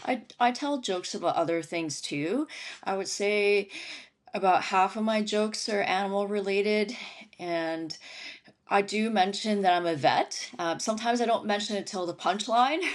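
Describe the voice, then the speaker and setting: A woman's voice: mid-range voice, unhurried. A woman who grew up in Syria and Lebanon, a veterinarian and stand-up comic, chatting as an interview guest and laughing often as she talks. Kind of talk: casual interview chat.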